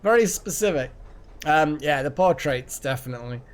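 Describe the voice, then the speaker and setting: A man's voice: a little high, animated, moderate pace. A man hosting a live game stream, chatting casually and rambling as he thinks aloud. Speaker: game livestream host. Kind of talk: casual live gaming chat.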